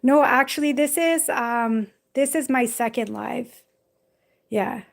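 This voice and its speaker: A talkative young woman chatting with her viewers on a live stream, recorded on the phone she holds in her hand. Her voice sits a little high, and she rambles easily, full of casual fillers. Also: slow, gravelly. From Jamaica. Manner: chatty, rambling, frequent "like" and "you know". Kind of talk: casual live chat reading viewer comments. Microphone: phone held in hand.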